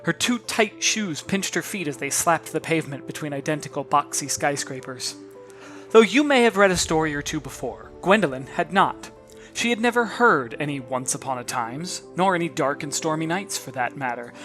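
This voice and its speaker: An author narrating a children's novel in an expressive, theatrical way, addressing listeners directly. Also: mid-range voice, normal speed, faint music behind.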